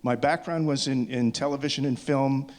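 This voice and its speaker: Halting man addressing an audience. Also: mid-range voice, fairly even intonation, darkish tone. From Canada. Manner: halting, often stammering over restarts. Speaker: filmmaker introducing a screening. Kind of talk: informal unscripted speech to an audience.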